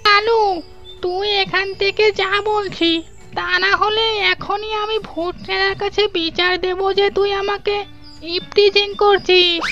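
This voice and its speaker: Squeaky, headstrong female tree ghost. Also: little pitch movement, unhurried, sharp tone, lo-fi sound, soft background music, heavily acted. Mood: indignant, scolding. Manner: scolding, headstrong. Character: feisty petni, female tree ghost longing for marriage. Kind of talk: Bengali children's cartoon voice acting.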